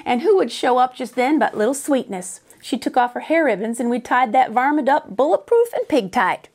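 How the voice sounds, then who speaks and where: High-pitched American woman, folksy storyteller. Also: big pitch swings, moderate pace, a little mellow. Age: middle-aged. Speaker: teacher reading a storybook aloud. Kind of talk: expressive children's story narration in folksy Western dialect. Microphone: lapel.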